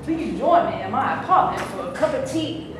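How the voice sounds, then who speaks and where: Woman doing a airheaded blonde starlet, high bouncy voice, flirty and full of herself, echoey room w/ noise. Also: expressive, moderate pace. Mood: chirpy.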